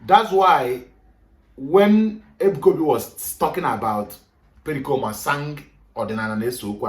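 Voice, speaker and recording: A man with a Nigerian Igbo accent giving an emphatic, lecturing talk to a group and switching between English and Igbo. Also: medium pitch. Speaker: speaker on culture. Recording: echoey room, voice from far off.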